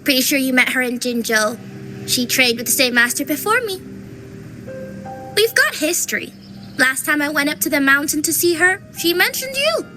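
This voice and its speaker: A woman's voice: high-pitched, very animated, normal speed, music in the background. A woman playing a playful young Taoist fortune teller in a video game, with theatrical, teasing sales patter. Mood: chatty, sly.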